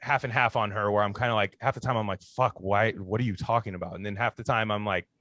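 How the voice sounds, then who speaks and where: A man's voice: on the low side, fairly even intonation, quick pace, bright, forceful tone, dry recording. A man chatting casually on a podcast in a slangy, profane way.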